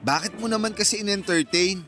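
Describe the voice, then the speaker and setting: An actor playing a bossy, meddling close friend in a radio drama, with a teasing, exclamatory manner. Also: male voice, high voice, fairly quick, sharp tone, soft background music. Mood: scolding.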